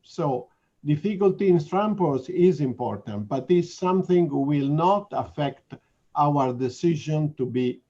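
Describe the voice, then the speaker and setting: A man with an Italian accent answering webinar questions in earnest, deliberate English. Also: on the high side, warm tone. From Italy. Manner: earnest, deliberate, with non-native phrasing. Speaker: invited lecturer answering questions. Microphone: video call. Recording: dull lo-fi call audio.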